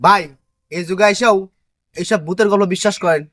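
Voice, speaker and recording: A man's voice: high-pitched, moderate pace, fairly bright tone, no room sound, clean studio sound. A man playing a young village woodcutter, doubtful in tone. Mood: skeptical.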